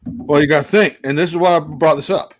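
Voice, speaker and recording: A man co-hosting a casual podcast over a video call, earnestly laying out his theories as he thinks out loud. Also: fairly high pitch, steady tone, brisk. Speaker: podcast co-host.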